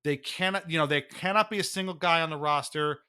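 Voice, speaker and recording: A man with a fairly high, bright and forceful voice co-hosts a sports podcast. He delivers opinionated commentary emphatically, with a wry note of exasperation. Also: fairly quick, smooth. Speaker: podcast co-host.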